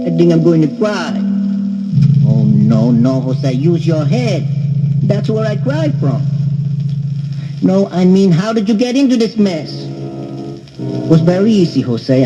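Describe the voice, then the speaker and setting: A man voicing a naive, bumbling astronaut with deadpan, literal-minded humor in a 1960s comedy record. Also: sits high, muffled recording.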